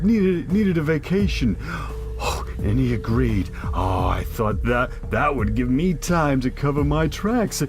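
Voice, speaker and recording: A man playing a guilt-ridden corrupt policeman in an audio drama, speaking haltingly and stammering as he confesses. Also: medium pitch. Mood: desperate pleading. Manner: halting, stammering, repeating himself. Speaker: voice actor in a fan-made audio drama.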